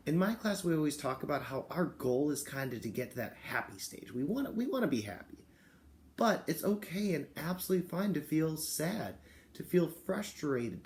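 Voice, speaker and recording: A man's voice: mid-range voice, darkish tone. A man speaking as a kindergarten teacher, explaining and reading aloud to young children and parents.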